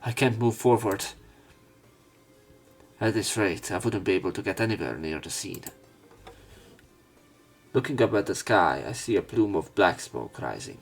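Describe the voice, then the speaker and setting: A man's voice: lowish voice, expressive, normal speed, long pauses. A man reading a visual novel aloud as a let's play narrator, dramatizing the script. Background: background music.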